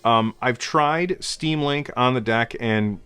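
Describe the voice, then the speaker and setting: A man in his forties, hoarse and stuffed up from a cold, hosting a gaming news show in a chatty way with many fillers. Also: medium pitch, slow pace, fairly bright tone, dry recording, soft background music. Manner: gestures with his hands while talking. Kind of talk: casual video commentary. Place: home game room lit with colored lights.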